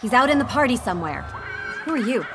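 An irritated, sarcastic partygoer in a video game, talking in an ordinary mid-pitched voice. Music plays behind her. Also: big pitch swings, fast. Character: annoyed snarky partygoer in costume. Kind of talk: video game cutscene voice acting.

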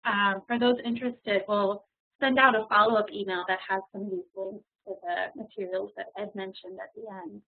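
A woman hosts the question part of a webinar, easygoing and filler-heavy. Her voice is a little husky, and it comes through thin and tinny like a phone line. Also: medium pitch, fairly even intonation, normal speed. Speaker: webinar moderator. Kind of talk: hosting a webinar Q&A.

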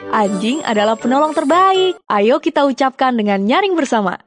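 A cheerful little panda guide, with a very high childlike voice. It gives simple, encouraging instructions in a children's learning cartoon. Music runs underneath. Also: expressive, normal speed. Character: cute cheerful young panda guide for toddlers. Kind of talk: children's educational cartoon presenting. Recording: music behind the voice.

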